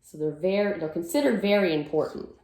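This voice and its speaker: A woman's voice: fairly low pitch, animated, fairly quick, mellow, echoey room, poor audio. A woman teacher reading a picture book aloud to children, with sing-song verses and simple explanations.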